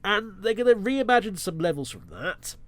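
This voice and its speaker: A man hosts his podcast alone, his voice nasal and bunged up from a lingering cold. He talks with sarcastic asides and a lively, swooping delivery. His pitch sits a little on the high side. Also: moderate pace, no room sound. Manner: sarcastic, animated, wry asides. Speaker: podcast host. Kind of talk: solo podcast commentary.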